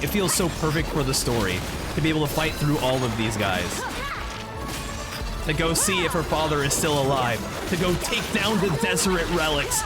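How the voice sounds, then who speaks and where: Guy streaming a game, hyped and gushing, voice swings around, loud music behind. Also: a little high. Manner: enthusiastic, effusive. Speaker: gaming streamer. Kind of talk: casual live game reaction commentary.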